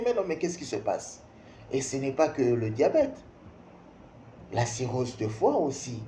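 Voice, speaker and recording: A man's voice: mid-range voice, dark tone. A man in his fifties from Kinshasa with a Congolese accent, speaking emphatically to parents, repeating words and asking rhetorical questions. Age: 50s. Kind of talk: spoken opinion piece addressing parents. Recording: roomy echo, faint background noise.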